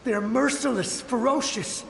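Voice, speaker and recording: An actor playing a desperate, fervent samurai soldier, the ghostly memory of a war, in a video game. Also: male voice, very high-pitched, measured pace, creaky, exaggerated voice. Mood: frantic warning. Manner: urgent, fervent pleading. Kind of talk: dramatic video game voice acting. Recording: echoing long reverb, faint noise.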